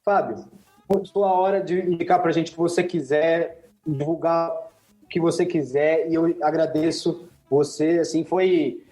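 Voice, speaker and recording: A man's voice: fairly high pitch, fairly even intonation, unhurried, dark tone, reverberant, faint music behind. A man with a Brazilian Portuguese accent hosting a podcast, thanking a guest in a rambling, grateful way. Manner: grateful, rambling, restarts often. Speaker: podcast host. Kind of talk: casual podcast chat.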